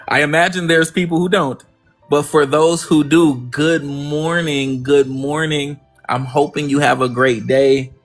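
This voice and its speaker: A man hosting a livestream in a casual, upbeat way, effusive and fond of repeating his thanks, with faint music behind. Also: on the high side. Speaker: livestream host. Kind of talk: casual positive-affirmation talk.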